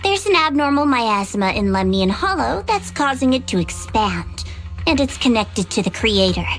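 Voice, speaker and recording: An actress voicing a cynical, guarded young woman in a fantasy game, speaking with cool, deliberate, sardonic delivery. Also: a little high, very animated, fairly bright tone, soft background music. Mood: grave, analytical. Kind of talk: English video game voice acting.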